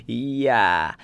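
A man voicing a friendly, easygoing senior high-school boy in an anime audio drama, gently playful and teasing. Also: gravelly, no echo, heavily acted. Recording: music faintly behind the voice.

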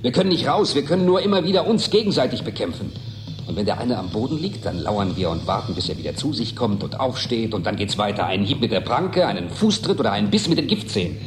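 A man's voice: fairly low pitch, big pitch swings, fast talker, music in the background. An actor playing a bitter, needling male station colleague with a theatrical streak in a German radio drama. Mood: bitter, intense. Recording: clear ambient noise behind.

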